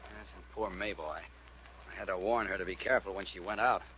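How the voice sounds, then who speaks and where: An actor playing an anxious, earnest doctor in a 1940s radio drama, mild and concerned in manner. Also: male voice, low voice, brisk, a bit bright. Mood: worried. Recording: muffled, hissy vintage radio recording.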